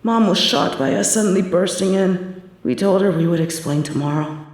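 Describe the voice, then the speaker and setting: Hushed young woman telling a horror tale. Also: lowish voice, normal speed. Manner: hushed, tense storytelling. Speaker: audio script performer. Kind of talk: scripted horror narration. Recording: very echoey room.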